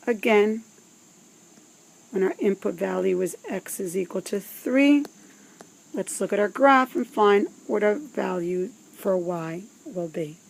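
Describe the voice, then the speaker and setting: Slow-spoken woman teacher with a creaky voice. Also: mid-range voice, a little mellow, rough recording. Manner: deliberate, instructive, with pauses between phrases. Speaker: math teacher. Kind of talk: scripted step-by-step instructional narration.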